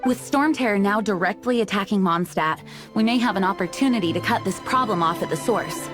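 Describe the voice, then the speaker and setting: A woman's voice: mid-range voice, expressive, brisk, lightly acted. A woman playing an earnest, dutiful knight commander in a fantasy video game, speaking formally and with resolute authority. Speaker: acting grand master of knights. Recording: game music under the voice. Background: music.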